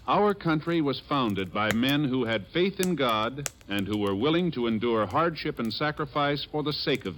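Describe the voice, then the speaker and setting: A man's voice: mid-range voice, a bit bright. An American man announcing formally in the style of mid-century radio. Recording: vintage radio with faint hiss.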